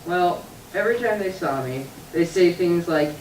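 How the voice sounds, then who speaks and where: A woman's voice: fairly low pitch, expressive, moderate pace, a little mellow, lightly acted. A woman voicing a small, sulky boy puppet hiding up a tree, whiny and tearful, recorded from a distance in an echoey room. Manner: whiny and sulky, cries in bursts. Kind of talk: church puppet show acting. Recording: echoey room picked up from afar, faint noise.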